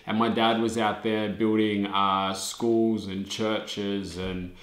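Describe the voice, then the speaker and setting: A young man chatting casually with his partner in a Q&A vlog, telling stories with lively acted-out quotes. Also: lowish voice, slow pace. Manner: animated storyteller, acts out quotes. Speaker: vlogger co-host. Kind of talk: casual couple Q&A chat. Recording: roomy echo.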